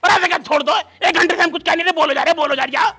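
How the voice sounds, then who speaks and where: Man acting high, bright, blustering village father. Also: very fast. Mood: angry, scolding. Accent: rural western Uttar Pradesh. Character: hot-tempered, blustering village father of the groom. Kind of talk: comic skit acting in rustic dialect.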